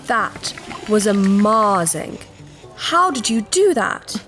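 An actress playing a proud, secretive alien princess in disguise, formal and precise, in a sci-fi audio drama. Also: female voice, mid-range voice, sing-song, slow, fairly bright tone, faint music behind. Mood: curious, evasive. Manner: formal, precise, earnest, easily affronted.

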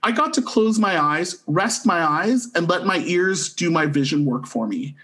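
A man's voice: sits high, animated, unhurried, fairly bright tone. A man. He talks as a podcast co-host sharing a casual review, enthusiastic and emphatic in his delivery. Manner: effusive, emphatic.

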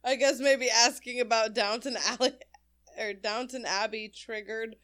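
A woman's voice: on the high side, fairly even intonation, normal speed, fairly bright tone, clean tone. Teasing, sarcastic young woman. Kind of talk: casual chat.

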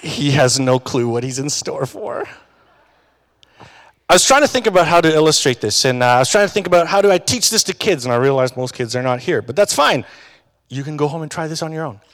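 A man's voice: a little high, lively intonation, a little fast, a bit bright, a faint crowd. A man preaching a sermon in a casual, conversational way, often tagging his points with questions to the congregation. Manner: conversational, tag questions, restarts. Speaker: pastor. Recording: long reverb of a hall.

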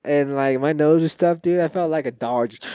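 A man's voice: fairly high pitch, a little mellow, smooth, dry recording, studio quality. A man co-hosting a casual sports podcast, his voice stuffy from allergies, rambling and joking with frequent restarts. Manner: rambling, jokey, restarts often. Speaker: podcast co-host.

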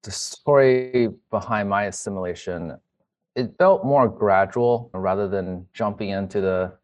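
Low-voiced man speaking candidly. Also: measured pace, a little mellow. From United States immigrant family from China. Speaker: guest, emergency physician. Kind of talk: reflective personal account.

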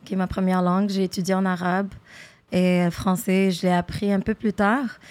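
A woman's voice: medium pitch, little pitch movement, moderate pace, a little mellow. A woman in her thirties, from Algeria, whose first language is an Algerian Arabic dialect. She talks casually as a guest in an interview and slips English phrases into her French. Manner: mixes French with English phrases, frequent fillers. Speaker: guest rapper.

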